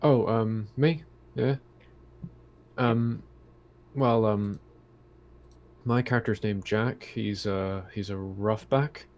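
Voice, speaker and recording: A man with a lowish voice talks in a casual roleplaying session. He is hesitant, with very drawn-out pacing as he searches for words. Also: darkish tone. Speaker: tabletop game player. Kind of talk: casual chat in online game session.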